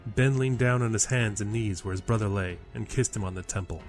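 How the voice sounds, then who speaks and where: A man's voice: fairly low pitch, fairly quick, faint music behind. A man reading a scripted fantasy story as a dramatic storyteller.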